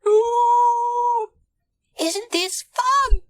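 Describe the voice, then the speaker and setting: A woman voicing an excitable, gushing young female passenger in a children's storybook. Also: very high voice, moderate pace, no echo, voice pushed up. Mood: delighted.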